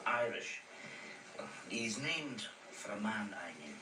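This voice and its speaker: Gentle sailor, distant echoey recording. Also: male voice, fairly low pitch, lively intonation, slow. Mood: wistful. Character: gentle, loyal sailor and cook, sentimental about his cat. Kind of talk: radio drama acting. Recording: roomy, distant, noisy recording.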